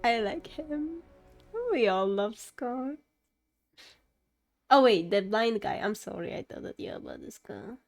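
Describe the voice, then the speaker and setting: A woman's voice: medium pitch, big pitch swings, a little slow, vocal fry, pauses often. A woman streaming a game, reacting casually with exclamatory asides. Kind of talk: casual live gameplay reaction. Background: faint game music.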